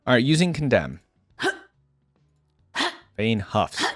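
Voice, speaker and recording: A man's voice: medium pitch, big pitch swings, slow pace, dry recording, soft background music. A man giving casual game reaction commentary in an informal, chatty way, thinking aloud as he goes. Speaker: reaction video creator.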